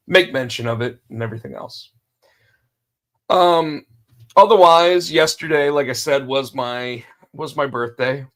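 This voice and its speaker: A man with a gravelly, crackling voice hosts a casual podcast on his own. He is under the weather and losing his voice, so he clears his throat often. He rambles along with fillers and restarts at an ordinary middle pitch. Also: a bit bright. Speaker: podcast host. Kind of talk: solo casual wrestling podcast talk.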